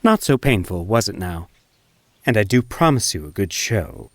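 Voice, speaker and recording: An actor playing a sly, low-class male street minstrel in an audiobook, casual, teasing and mock-deferential. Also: sing-song, normal speed, no room sound. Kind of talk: full-cast audiobook acting.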